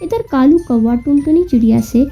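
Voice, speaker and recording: A woman's voice: high-pitched, normal speed, mellow. Lady narrating a kids bird story, sounds a bit off the mic, music under. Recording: muffled, distant-sounding, music behind.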